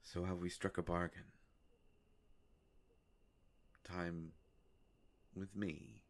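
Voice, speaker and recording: A man playing a courteous, ancient vampire in a roleplay audio drama, speaking in a halting, formal, soft-spoken way. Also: low voice, little pitch movement. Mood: pleased, courtly. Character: courteous, ancient male vampire, gentle and hesitant.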